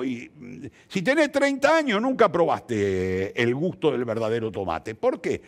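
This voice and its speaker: An older Argentine man with a Rioplatense accent speaks in a slightly high register, his pitch swinging widely. He explains ideas as if teaching, emphatic and thoughtful, posing questions and answering them himself. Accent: Rioplatense Argentine Spanish. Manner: didactic, emphatic, rhetorical questions. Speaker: interview guest, theatre practitioner. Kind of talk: reflective explanatory conversation.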